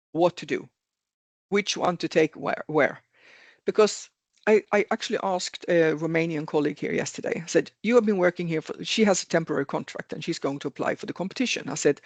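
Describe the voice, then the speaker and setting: A man speaks over a video call with a somewhat high, gravelly voice, and the sound is dry and close. He explains things earnestly, repeats key words for emphasis and often stops to correct himself mid-sentence. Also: no echo. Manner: repetitive, earnest, self-correcting. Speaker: webinar speaker on careers. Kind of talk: explanatory webinar talk.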